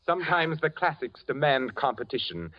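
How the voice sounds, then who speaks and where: An actor playing a suave, menacing man who plans a murder, in 1940s radio drama style with a measured, silky delivery. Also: a little high, big pitch swings. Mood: gloating. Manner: silky, measured, quietly sinister. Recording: dull old 1940s radio recording.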